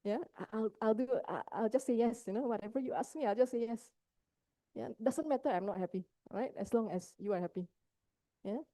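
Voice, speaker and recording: A woman's voice: on the high side, a little fast, warm tone, no room sound. A woman from Indonesia, a psychologist giving a workshop talk on mental health, often checking in with her listeners as she explains.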